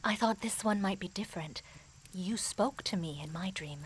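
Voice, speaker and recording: A woman playing a grave mystic advisor in a fantasy audio drama, speaking formally, in an earnest and measured way. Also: mid-range voice, breathy edge, low-quality recording.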